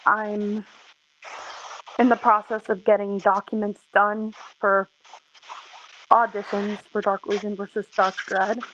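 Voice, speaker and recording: A woman in her twenties venting in a personal video, talking in a halting, weary way with many pauses and restarts. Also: mid-range voice, flat delivery, a bit bright, muffled recording, a little hiss or hum. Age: 20s. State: emotionally tired. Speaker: content creator.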